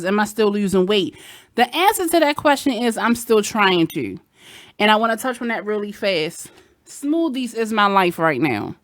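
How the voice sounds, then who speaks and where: A woman's voice: medium pitch, no echo, clean studio sound. A woman radio host with a Baltimore accent, chatting candidly with sassy asides. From Baltimore, Maryland. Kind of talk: casual chat. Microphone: headset.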